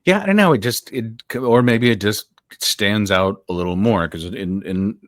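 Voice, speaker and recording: A man's voice: on the low side, moderate pace, no room sound. A male interviewer asking long, thoughtful questions in a casual, winding way.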